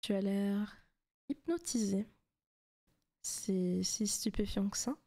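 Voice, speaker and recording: Slow, whispery demon girlfriend, acted by a woman. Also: medium pitch. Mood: teasing, doting. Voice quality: soft, breathy, often whispered. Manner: slow, intimate, teasing. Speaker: ASMR roleplay performer. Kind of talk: whispery ASMR roleplay.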